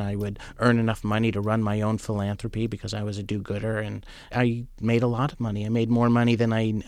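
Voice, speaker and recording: A man's voice: lowish voice, darkish tone, no echo. A man, a journalist and former trader, telling a personal story in a candid, conversational way.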